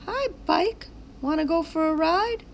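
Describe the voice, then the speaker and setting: Woman voicing an excitable, squeaky little sign kid. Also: unhurried, slight background noise. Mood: eager. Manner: exclaiming, childlike, eager. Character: excitable little stick-figure sign kids, adventurous and plucky.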